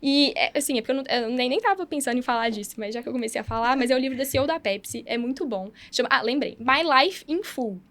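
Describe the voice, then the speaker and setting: A woman's voice: fairly high pitch, expressive, fairly bright tone. A woman doctor hosting a podcast, telling stories enthusiastically in a casual, chatty way with lots of fillers. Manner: enthusiastic, fast storytelling with many fillers. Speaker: podcast host, radiotherapy doctor and app founder.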